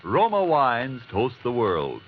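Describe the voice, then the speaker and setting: A man's voice: mid-range voice, huge pitch swings, slow pace, a little hiss or hum. A man announcing for a sponsor in a formal, emphatic 1940s radio advertising style. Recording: muffled old radio broadcast.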